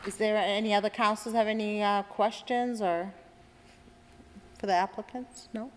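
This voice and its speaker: Woman chairing a meeting, brisk and procedural, reverberant chamber. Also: medium pitch, steady tone. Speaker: council president. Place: council chamber.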